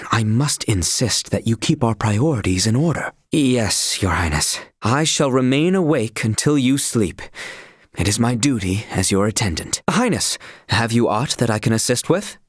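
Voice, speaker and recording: An actor playing an earnest, proud young royal attendant in a video game, speaking in a formal, stiffly courteous, archaic way. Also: male voice, medium pitch, animated, normal speed, breathy edge, dry recording, clean studio sound. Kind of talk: scripted game dialogue, archaic formal English.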